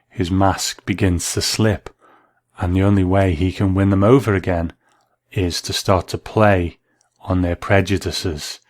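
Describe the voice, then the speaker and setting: A man with a low voice narrates scripted commentary on classic drama. He is measured and analytical, recorded close and dry with no room sound. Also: no echo.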